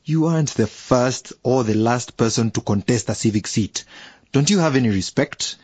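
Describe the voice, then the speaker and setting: An actor playing a brusque, condescending older electoral commissioner in a radio drama. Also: male voice, medium pitch, normal speed, darkish tone, husky, no echo, natural voice. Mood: indignant, scornful. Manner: condescending, curt, officious.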